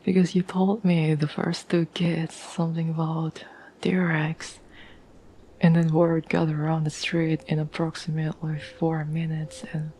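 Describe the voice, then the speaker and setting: A woman playing a caring, tender girlfriend in an intimate ASMR roleplay, speaking in a soft, breathy whisper with gentle, halting pauses. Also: low voice, slight background noise, rough recording. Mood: fond, gently amused. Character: caring, tender girlfriend reassuring her troubled partner.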